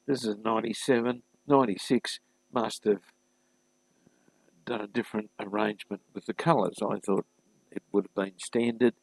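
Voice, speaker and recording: A man giving a casual, unscripted hands-on repair walkthrough, thinking aloud haltingly and addressing viewers informally as a hobbyist host. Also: mid-range voice, vocal fry, no echo, low-quality recording. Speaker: hobbyist host of a vintage radio restoration series.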